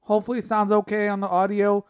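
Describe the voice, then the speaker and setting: Raspy high-voiced man hosting a sports podcast. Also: monotone, fast talker. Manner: casual, opinionated, thinking aloud with fillers. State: raspy from a cough. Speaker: podcast host. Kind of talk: solo sports podcast commentary.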